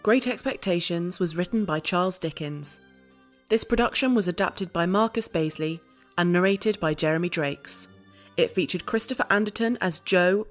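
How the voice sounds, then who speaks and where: A woman reading scripted closing credits as the announcer of an audio drama. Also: fairly low pitch. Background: music.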